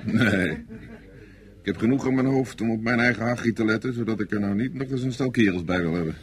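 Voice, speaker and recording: An actor playing a down-to-earth army engineer with no ambition, matter-of-fact, in a Dutch radio play. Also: male voice, fairly low pitch, brisk, lightly acted. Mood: easygoing, dry. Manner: plain-spoken, matter-of-fact. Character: down-to-earth, unambitious male army engineer soldier.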